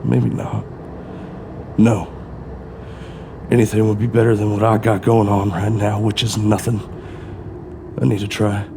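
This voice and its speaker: Man voicing a grim ex-con dad, gravelly and lowish, blunt, lots of noise behind. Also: flat delivery, unhurried, long pauses. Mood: brooding. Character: bitter, desperate ex-con father, grim. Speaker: former criminal and father. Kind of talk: amateur audio drama acting.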